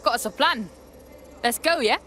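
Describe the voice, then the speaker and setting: Streetwise london girl rebel in a game, slangy, cocky, highish bouncy voice. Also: expressive, normal speed, pushed and bright, slight background noise. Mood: eager. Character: streetwise, cocky young female London resistance hacker. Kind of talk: video game voice acting.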